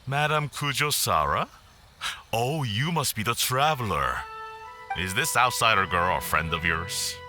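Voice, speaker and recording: A man's voice: mid-range voice, lively intonation, a little slow, bright, forceful tone. A man voicing a busy, brusque, officious male samurai guard in a video game. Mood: surprised. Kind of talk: video game cutscene voice acting. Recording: faint music behind.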